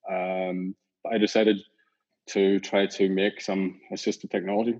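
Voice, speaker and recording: Middle-aged Northern Irish man, founder being interviewed, lowish voice, rambling and easygoing, dull lo-fi sound. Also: unhurried. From Northern Ireland. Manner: relaxed, rambling, many fillers and restarts. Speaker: company founder and CEO, guest. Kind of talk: casual interview talk. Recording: dull lo-fi audio.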